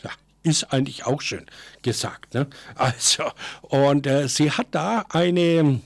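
An older man with a slightly raised pitch speaks off the cuff in an informal monologue. He searches for words, wanders into asides and corrects himself mid-sentence. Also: gravelly. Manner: halting, digressive, thinks aloud and corrects himself. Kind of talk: informal spoken tribute, unscripted monologue.

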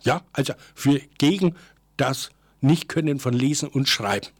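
An older man giving an informal, unscripted monologue as a presenter, halting and digressive, thinking aloud and correcting himself as he goes. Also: mid-range voice.

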